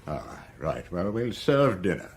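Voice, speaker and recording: Fussy old butler, very expressive. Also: male voice, low-pitched, a little slow, husky, heavily acted. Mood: polite. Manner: scolding, deferential to superiors. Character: fussy, dignified old English butler, stern with underlings. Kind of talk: radio play acting.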